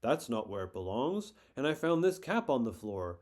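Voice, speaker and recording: A man's voice: mid-range voice, big pitch swings, moderate pace, darkish tone, close to an everyday voice. A man voicing an annoyed turtle father in a storybook read-aloud, firm and scolding.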